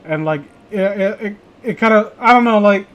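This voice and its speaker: High-pitched stammering man, exasperated. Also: normal speed, a bit bright, muffled recording. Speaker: streamer reading creepypasta with friends. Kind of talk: casual commentary and banter on a read-aloud.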